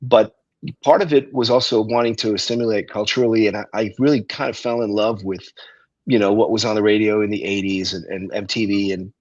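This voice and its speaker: A middle-aged man, born in Russia and raised in Cleveland, speaking as a guest musician in a relaxed, storytelling way with frequent ums. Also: on the low side, brisk, dry recording, lo-fi sound. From Russia, raised in Cleveland, Ohio. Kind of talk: casual interview talk. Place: restaurant.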